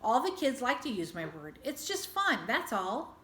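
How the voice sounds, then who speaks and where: Storybook schoolboy, voiced by a woman: stubborn, sincere, plain-spoken, high voice, echoey room sound. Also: very animated, normal speed, fairly bright tone. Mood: defensive. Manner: earnest, insistent, plain-spoken. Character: earnest, stubborn schoolboy arguing with grown-ups in storybook.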